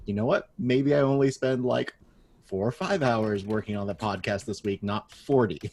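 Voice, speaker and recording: A man chatting casually on a panel as a podcaster, talking in a candid, informal, somewhat halting way. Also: lowish voice, a little mellow.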